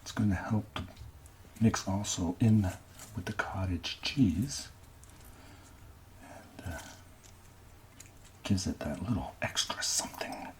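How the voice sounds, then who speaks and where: A man from Canada speaking softly and gently in an ASMR cooking style, often dropping to a breathy whisper as he talks through each step. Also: deep voice, slow, long pauses. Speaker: amateur ASMR cooking host. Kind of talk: soft-spoken ASMR cooking narration.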